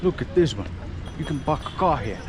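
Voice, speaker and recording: A man's voice: mid-range voice, very animated, a little fast. A man from Finland vlogging while he walks city streets, chatting with passersby and greeting people again and again. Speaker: travel vlogger. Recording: noisy outdoor street recording. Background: loud traffic and street ambience.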